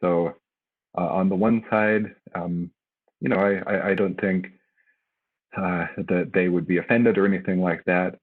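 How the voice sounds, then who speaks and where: Low raspy guy, tinny phone-like audio, casual hesitant panel talk. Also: steady tone, measured pace. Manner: hesitant and casual, many fillers. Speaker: faculty panelist. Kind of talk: advice on a webinar panel.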